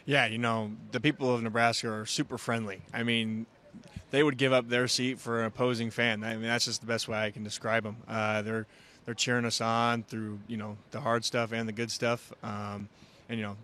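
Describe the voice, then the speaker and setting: A man's voice: mid-range voice, little pitch movement, a little fast, a bit bright. A young man, a college football player, giving friendly, casual interview answers full of fillers. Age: young adult. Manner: friendly, fills with "you know" and "I mean".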